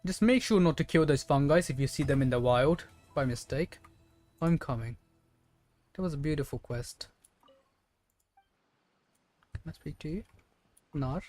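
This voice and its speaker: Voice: medium pitch, animated, unhurried, pauses often, darkish tone, faint music behind. A game streamer giving casual gameplay commentary in a rambling, thinking-aloud way. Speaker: game livestreamer.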